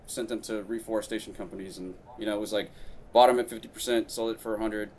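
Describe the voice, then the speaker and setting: A man's voice: fairly low pitch, little pitch movement, fast talker, darkish tone, a little hiss or hum. A man in his thirties from Brazil, a podcast guest telling his story in casual, rambling chat full of fillers and restarts.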